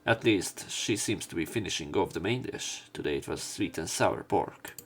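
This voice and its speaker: A man's voice: low-pitched, expressive, moderate pace. A man narrating a let's play, reading visual novel narration and dialogue aloud. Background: game music.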